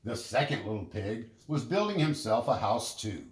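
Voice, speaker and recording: A man narrating a children's story in an expressive, deliberate storytelling manner. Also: fairly low pitch, echoey room. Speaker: storybook reader.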